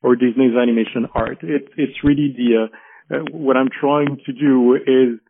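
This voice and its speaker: A man speaks down a thin, phone-like call line with a faint hiss. He talks haltingly, stacking fillers and restarts as he explains. His pitch sits in a middle range for a man. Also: steady tone. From France. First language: French. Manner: halting, many fillers and repeated words. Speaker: guest, animation historian. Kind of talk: interview over a call.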